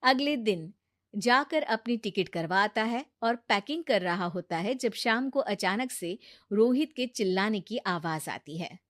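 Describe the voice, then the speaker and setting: A woman's voice: medium pitch, lively intonation, measured pace, no room sound, studio quality. A woman narrating a scripted moral story as a storyteller.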